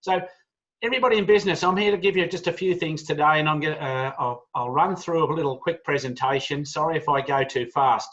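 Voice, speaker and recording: A man's voice: a little high, quick pace, a bit bright. A middle-aged man with an Australian accent, giving a loose, informal business talk on a video call, full of fillers and friendly asides. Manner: chatty, many "uh" fillers, friendly asides to the audience. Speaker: business speaker. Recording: dull lo-fi video call audio.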